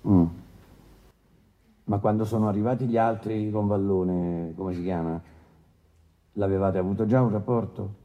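A man acting a brusque, paternalistic presiding judge who questions haltingly and interrupts impatiently in a courtroom radio drama. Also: on the low side, steady tone, highs cut off, natural voice. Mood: probing. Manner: interrupting, hesitant filler-laden questioning, impatient.

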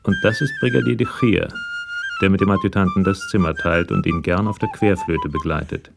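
A man narrates a radio crime drama from a script. His voice is very deep with plenty of fry, set against clearly audible music. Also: a little fast.

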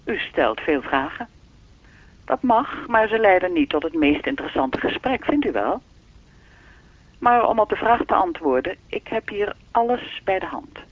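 A man's voice: high voice, big pitch swings, a little slow, no room sound, exaggerated voice. A man playing an enigmatic, all-knowing keeper of time in a radio play, speaking formally and teasingly through a telephone filter. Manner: formal, lecturing, unruffled, faintly teasing. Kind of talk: radio drama dialogue. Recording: thin, dry telephone-line sound.

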